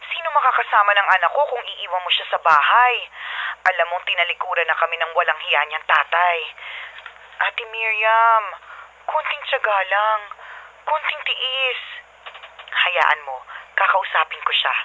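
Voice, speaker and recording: A woman's voice: mid-range voice, lively intonation, measured pace, pauses often, bright, forceful tone. A woman playing a placating middle-aged Filipino mother in a radio drama, heard through an internet call filter. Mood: worried, pleading. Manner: placating, coaxing. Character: pleading, conciliatory middle-aged mother working away. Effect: video call filter. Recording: dull, band-limited internet call sound.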